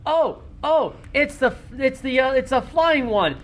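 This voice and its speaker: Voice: high-pitched, animated, unhurried, pitched above the performer's own voice. A game master voicing a small talking frog, friendly, blunt and eager, with bouncy, repetitive speech in a tabletop radio play. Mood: startled.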